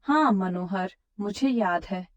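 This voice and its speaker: A woman's voice: medium pitch, slow pace, highs cut off, close to an everyday voice. A woman playing a practical village wife in a cartoon moral story, direct and questioning. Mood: attentive.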